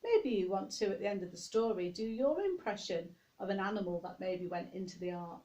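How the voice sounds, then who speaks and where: A woman's voice: mid-range voice, expressive, fairly quick, mellow. A woman reading a picture-book story to young children, expressively, with chatty asides spoken straight to the listeners.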